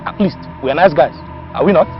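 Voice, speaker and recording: A man's voice: fairly high pitch, fast, lightly acted. A man playing a domineering gang leader in a film drama, with a Nigerian accent and an emphatic, commanding manner. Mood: menacing, smug. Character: domineering male gang leader sparing his captive. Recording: muffled film audio with faint music.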